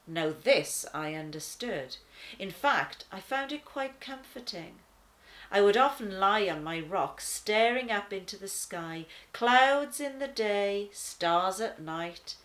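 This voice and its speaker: Woman as a musing lion storyteller, slow, animated. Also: mid-range voice. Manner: wry, questioning. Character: thoughtful, curious lion telling its story. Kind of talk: first-person children's storybook narration.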